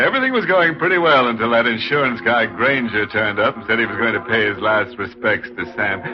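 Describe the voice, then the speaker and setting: An actor playing a ghoulish horror host on 1940s radio, sardonic and full of mock sympathy and morbid humor. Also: male voice, mid-range voice, muffled recording, lightly acted. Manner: sardonic, chuckling dark humor.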